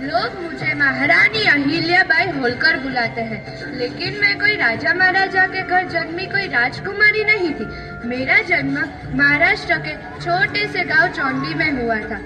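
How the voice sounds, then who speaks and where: A woman's voice: extremely high pitch, expressive, moderate pace, far from the mic, music in the background, rough recording. A woman playing a proud, resolute widowed Maratha warrior queen in a theatrical, declamatory, earnest monologue. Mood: proud, reflective. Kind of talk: theatrical one-person stage monologue.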